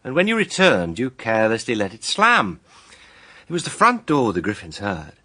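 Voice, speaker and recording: An actor playing a self-assured, righteous accuser in a radio mystery drama, with emphatic, deliberate phrasing. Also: male voice, fairly low pitch, wildly swooping pitch, pushed and bright, exaggerated voice. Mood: accusing, certain. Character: righteous, self-assured male accuser laying out a murder case.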